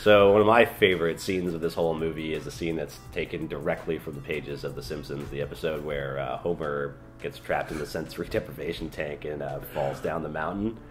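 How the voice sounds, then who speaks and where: A man's voice: extremely low pitch, steady tone, fairly quick, hardly any pauses, over music. A man in his twenties co-hosting a film review show, chatting casually in a small living room.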